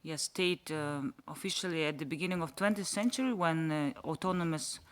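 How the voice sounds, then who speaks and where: A man from Yakutia whose first language is Sakha, speaking haltingly as a panelist in a panel discussion and searching for words. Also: a little high, a bit bright, clean tone. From Yakutia, Russia.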